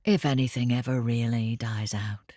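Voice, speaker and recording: A woman's voice: rumbling low, fairly even intonation, moderate pace, dark tone, no room sound. An actress playing a wry, sceptical woman who comments in an audio drama with dry understatement. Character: wry, deep-voiced female commentator, drily sceptical. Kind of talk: scripted audio drama narration.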